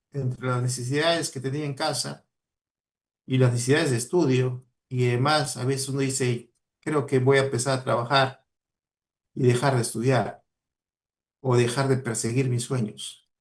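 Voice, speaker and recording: A man with a Peruvian Spanish accent giving a motivational talk to students, earnest and exhorting, repeating key words for emphasis. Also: medium pitch. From Peru. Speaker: guest speaker, mining professional.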